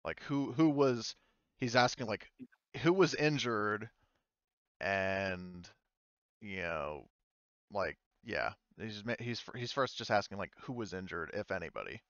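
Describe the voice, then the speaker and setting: A man narrating a tabletop roleplaying game as game master in a casual, halting, self-correcting way. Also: lowish voice, a bit bright, dry recording, dull audio.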